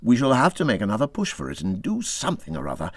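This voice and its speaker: A man's voice: medium pitch, wildly swooping pitch, quick pace, no room sound. A man voicing a kindly, practical water rat in a storybook reading, with a considered and reassuring manner. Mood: resolute. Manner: considered, reassuring, matter-of-fact. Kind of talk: storybook character voice in audiobook reading.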